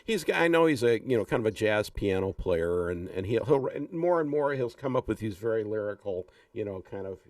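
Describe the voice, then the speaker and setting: A man's voice: fairly low pitch, animated, fairly quick, hardly any pauses. A man hosting a podcast, chatting informally and loosely as a fellow film composer. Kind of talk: casual podcast chat. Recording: dry but distant from mic.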